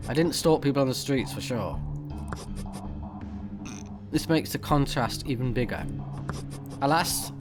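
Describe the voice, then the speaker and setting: Guy streaming a game, mid-range voice, blunt and expressive, game music clearly behind him. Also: medium pitch. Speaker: let's play streamer. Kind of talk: casual gameplay commentary with read-aloud game text.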